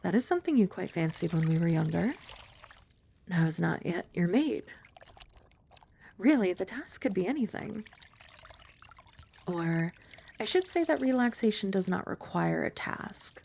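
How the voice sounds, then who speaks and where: A young woman voicing a composed young maid who is also a childhood friend. She uses formal, polite speech with gentle, dry teasing, is soft-spoken, and sometimes drops to a whisper. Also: fairly low pitch, very animated, unhurried, gravelly, pauses often, slight background noise, heavily acted. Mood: calm. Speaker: ASMR roleplay performer. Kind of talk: ASMR roleplay.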